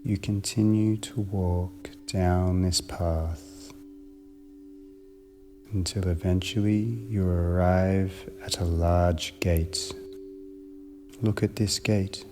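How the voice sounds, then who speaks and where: A man narrating a guided meditation in a measured, soothing manner with long pauses between short phrases. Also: low voice, steady tone, noisy background.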